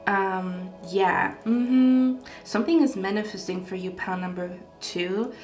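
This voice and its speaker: A woman reads tarot cards straight to camera in a loose, rambling way, full of fillers and checking in with "okay?" after her phrases. Her voice sits at an ordinary middle pitch. The room around her rings with noticeable echo. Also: measured pace, a little mellow, faint music behind. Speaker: tarot card reader. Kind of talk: casual pick-a-card tarot reading to camera. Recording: echoey room.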